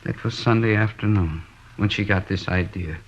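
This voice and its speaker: Dull old radio recording of a middle-aged American working man worn down by his wife. His low voice is plaintive and weary. He rambles and hesitates, unable to make up his mind, in 1950s radio play style. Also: moderate pace. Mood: downcast, weary. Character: henpecked middle-aged plasterer, weary, plaintive, indecisive husband. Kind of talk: 1950s radio drama acting.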